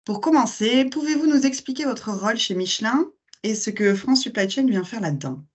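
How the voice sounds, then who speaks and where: A woman's voice: fairly high pitch, expressive, normal speed, husky, faint music behind. A woman interviewer reading formal, scripted questions and introductions in a polished way.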